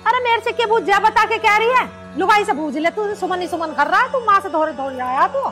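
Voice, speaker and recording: A woman playing a sharp-tongued older mother-in-law in a family drama skit, nagging and scolding. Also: extremely high pitch, expressive, fast talker, over loud music. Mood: grumbling.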